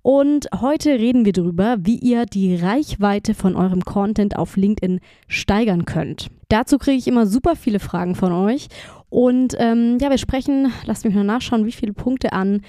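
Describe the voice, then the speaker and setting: A woman's voice: mid-range voice, moderate pace, mellow, no room sound, studio quality. A woman hosting a podcast solo, slightly stuffed up from a cold, talking informally straight to her listeners with English words mixed in. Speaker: podcast host. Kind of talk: casual solo podcast talk with tips.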